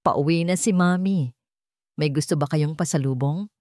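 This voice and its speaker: A woman's voice: low voice, moderate pace, a little mellow, no room sound, clean studio sound, close to an everyday voice. A woman voicing a sweet, loving mother in a radio drama, cheerful and affectionate as she speaks to children. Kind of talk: Tagalog radio drama storytelling.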